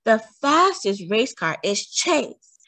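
A woman's voice: on the high side, big pitch swings, takes it slowly, bright, forceful tone, heavily acted. A woman voicing a principled female race car in a children's storybook, earnest and insistent about fairness.

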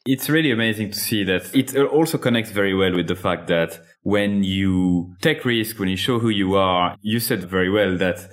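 Low-voiced French-accented man hosting. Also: normal speed, hardly any pauses. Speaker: podcast host. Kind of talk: conversational interviewing.

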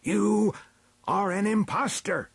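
A man voicing an aged, stern and suspicious snow dwarf chief elder in a storybook tale, declaiming slowly and imperiously. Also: mid-range voice, expressive, husky, no room sound, pitched above the performer's own voice, heavily acted. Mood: accusing. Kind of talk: storybook character acting.

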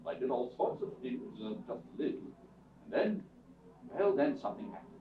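An actor in a radio theatre show playing a courteous, dignified butler with a wry streak and measured, formal speech. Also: male voice, medium pitch, very animated, natural voice. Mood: reflective. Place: theatre stage before an audience. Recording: distant echoey stage, tinny, faint noise.

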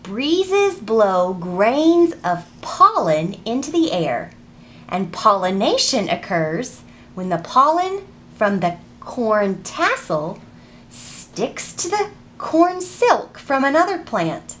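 A woman in her thirties hosting a children's storytime, reading aloud and teaching in an animated, deliberate, sing-song way. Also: fairly high pitch, a little hiss or hum. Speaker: children's librarian and storytime host. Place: public library children's section.

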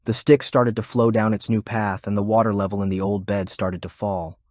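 A man's voice: lowish voice, level tone, normal speed, a little mellow, dry recording, clean studio sound. A man reading scripted war fiction as an audiobook narrator.

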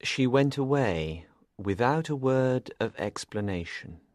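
A man voicing a polite, sly gentleman villain in an audiobook, measured and mournful in manner. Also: medium pitch, expressive, no echo, clean studio sound, pitched above the performer's own voice. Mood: sad, quiet. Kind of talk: audiobook character voice.

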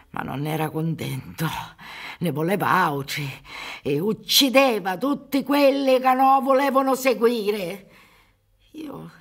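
An actress in her sixties playing a haunted, grieving old peasant mother in a radio drama, with a Sicilian accent and a halting, pleading delivery. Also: lowish voice, vocal fry, husky, full character voice. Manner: slow, halting, swinging from whispers to cries. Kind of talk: dramatic radio play monologue. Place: theatre stage.

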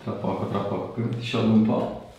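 A man's voice: on the low side, level tone, fairly quick, lo-fi sound. A man, working as a chiropractor, giving short encouraging instructions and questions while chatting casually with a patient. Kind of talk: casual hands-on treatment chat with a patient. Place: small bare treatment room, indoors. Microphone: camera a few steps away. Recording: very echoey room, distant mic, light noise.

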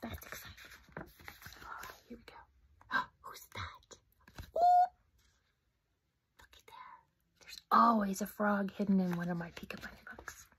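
A middle-aged woman reading her own children's book aloud at home, interactive, with soft, often whispered asides to young listeners. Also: mid-range voice, huge pitch swings, unhurried, pauses often, slight background noise. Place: home room, indoors. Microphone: phone camera close up.

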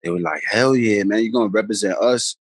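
A man rambles through stories in an easygoing, conversational way. His voice sits a little low, and the sound is dry and close from a phone held near him on a video call. Each point gets padded with casual asides. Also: a little fast, no echo. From the Bronx, New York. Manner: laid-back, rambling, many "you know what I mean" tags. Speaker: guest, pro basketball player. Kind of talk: casual storytelling chat. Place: room at home, indoors. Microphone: phone camera held close on a video call.

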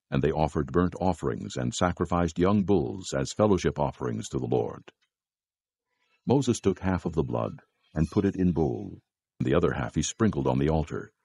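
A man narrating scripture from a script in a measured, solemn way. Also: very deep voice, creaky, a little mellow, husky, no echo.

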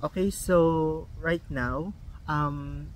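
A young man with a Filipino accent talks to his channel in a casual vlog, his voice sitting a little high. He hesitates often, filling gaps with ums and restarting words. A faint hiss of background noise runs under the recording. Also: rough recording. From Philippines. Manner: halting, many ums and restarts. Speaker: vlogger.

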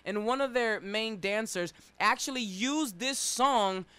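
A man's voice: high-pitched, a little slow, bright, forceful tone, clear, resonant tone, no echo. A man co-hosting a radio show in casual, playful banter, repeating words for emphasis. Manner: emphatic repetition. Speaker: radio co-host.

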